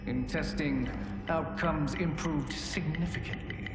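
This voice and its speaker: An actor playing a scheming, calculating sorcerer-alchemist in a video game, speaking in a formal, haughty manner. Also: male voice, on the high side, unhurried, over loud music, natural voice. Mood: smug, clinical. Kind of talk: video game cutscene acting.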